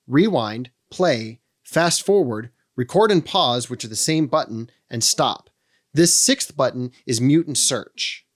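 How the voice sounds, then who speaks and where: A man hosting a product walkthrough, explaining features step by step with dry humorous asides. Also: medium pitch. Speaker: host of a how-to channel on second-hand audio gear.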